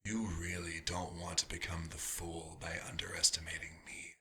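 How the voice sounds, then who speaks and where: A man playing a nostalgic, proud vampire doctor in an ASMR roleplay, musing theatrically and slipping into breathy whispers. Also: low-pitched, completely flat delivery, moderate pace, pushed and bright, exaggerated voice. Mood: menacing, indignant.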